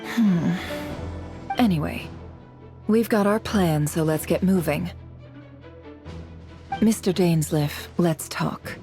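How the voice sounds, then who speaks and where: A composed woman strategist from a fantasy game. Her voice is low and mellow, with formal, measured phrasing and cool self-assurance over faint music. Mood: composed, assured. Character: composed, shrewd, commanding adult woman strategist. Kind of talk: game character voice acting.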